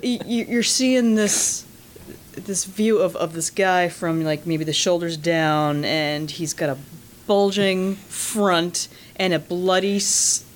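A woman from Massachusetts, a horror filmmaker and writer, chatting as an interview guest and telling animated, profane stories with frequent laughs. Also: lowish voice, slow pace, slight background noise. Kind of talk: casual interview chat.

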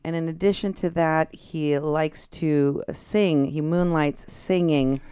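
A woman's voice: low-pitched, steady tone, takes it slowly, warm tone, smooth, no echo. A woman from Texas hosting a casual radio talk show in a studio, chatting easily with fillers. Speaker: radio host.

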